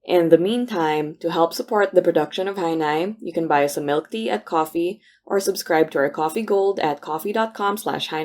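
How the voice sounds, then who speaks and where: Woman, slightly low voice, scripted podcast announcement, producer reading credits. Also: a little hiss or hum. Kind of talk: scripted announcement, upbeat greeting.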